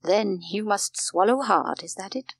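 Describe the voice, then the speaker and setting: A woman voicing a kindly, good-humoured older male doctor in a storybook, with a gentle, measured manner. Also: mid-range voice, gravelly, no room sound. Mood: kindly, soothing. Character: kindly, jovial grey-haired male doctor, calm and reassuring.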